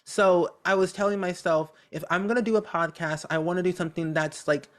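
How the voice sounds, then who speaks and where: An upbeat, talkative content creator chatting casually as a podcast guest, with a naturally high voice that has a medium tone. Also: steady tone, brisk. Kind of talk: casual interview chat.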